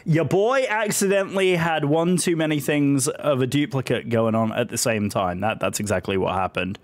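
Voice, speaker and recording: A British man, hoarse from a voice that is still recovering, chatting casually and effusively with viewers on a live stream. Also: medium pitch, normal speed. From Britain.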